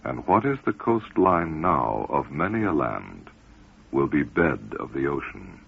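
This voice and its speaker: A man reading prophetic text in a solemn, measured cadence. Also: extremely low pitch, big pitch swings, gravelly, husky. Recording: narrow lo-fi radio broadcast.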